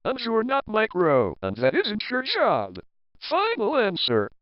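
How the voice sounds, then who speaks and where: Man playing an exasperated boss, wildly swooping pitch. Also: huge pitch swings, unhurried, no room sound, full character voice. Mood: exasperated, scolding. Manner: emphatic, repetitive scolding. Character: exasperated, scolding male TV newsroom boss. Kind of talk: comedy sketch dialogue. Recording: dull lo-fi audio.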